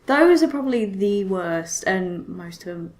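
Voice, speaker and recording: A woman's voice: mid-range voice, expressive, measured pace. Chatty woman repeating herself in film talk. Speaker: podcast co-host. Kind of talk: casual conversation about films.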